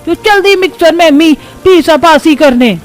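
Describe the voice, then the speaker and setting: A woman's voice: very high voice, expressive, moderate pace. A woman voicing a bossy, nagging old grandmother-in-law with rustic phrasing in a cartoon story. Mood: scolding, bossy. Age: older. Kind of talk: acted Hindi moral-story cartoon.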